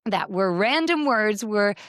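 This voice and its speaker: A woman's voice: medium pitch, lively intonation, measured pace, a bit bright, smooth, no echo, studio quality. A woman in her thirties or forties with an American accent, hosting a conversational podcast. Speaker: podcast host.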